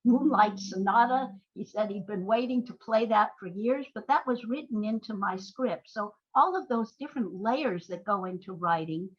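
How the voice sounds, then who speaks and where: A woman's voice: mid-range voice, moderate pace, few pauses, slightly rough, highs cut off. An older woman giving conversational interview answers, explaining things through anecdotes with emphatic agreement. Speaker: guest, playwright and screenwriter.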